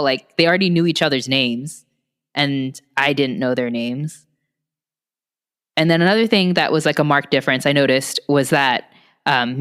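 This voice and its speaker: Low-voiced woman, hesitant storytelling. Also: clear, resonant tone, long reverb tail. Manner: hesitant, with many fillers. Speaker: podcast co-host. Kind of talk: casual chat.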